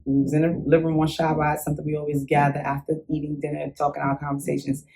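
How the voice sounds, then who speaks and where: A young woman, a school teacher, telling childhood memories in a relaxed interview, animated and quick to laugh. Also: low-pitched, creaky. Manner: animated, laughs often, acts out remembered reactions. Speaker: interview guest. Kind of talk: casual conversational storytelling.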